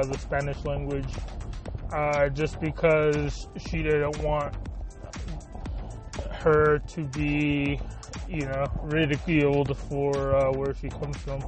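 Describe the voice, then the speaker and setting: A man hosting a podcast in a casual monologue, halting and searching for words with many fillers. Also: on the high side. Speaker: podcast host. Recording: talking over clear background music.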